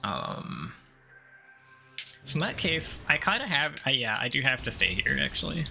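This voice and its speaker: A man with a noticeably creaky voice at an ordinary male pitch streams a video game playthrough. He rambles through his thoughts out loud in a casual, blunt way, with music clearly playing behind him. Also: big pitch swings, normal speed. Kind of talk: casual live gameplay commentary.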